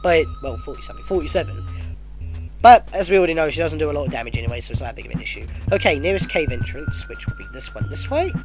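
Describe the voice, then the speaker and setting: This is a man hosting his own gameplay video while stuffed up with a cold, his nose blocked as he talks. His voice sits high and leaps around wildly in pitch as he rambles and corrects himself. Faint game music plays underneath. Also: fast. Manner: chatty, self-correcting, excitable at battles. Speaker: let's play host. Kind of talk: casual gameplay commentary.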